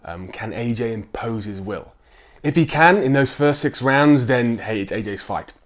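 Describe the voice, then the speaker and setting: A man with a croaky, slightly rough voice from a chest cough. He talks casually to his viewers, often stopping on an um or restarting a sentence. His tone stays easy and conversational. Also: medium pitch, animated, normal speed. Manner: chatty, with frequent ums and restarts. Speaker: sports vlogger. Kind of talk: casual chat to camera.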